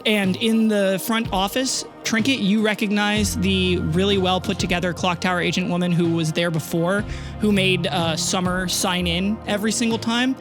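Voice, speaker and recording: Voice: mid-range voice, fairly even intonation, normal speed. A person improvising narration as a game master in a tabletop game, speaking casually with some swearing. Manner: casual, profane. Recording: music under the voice.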